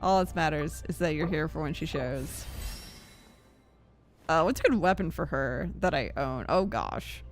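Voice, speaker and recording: A woman's voice: deep voice, animated, measured pace. A woman chatting casually and thinking aloud as she livestreams a game. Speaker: game streamer. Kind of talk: casual livestream gaming chat. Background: game music.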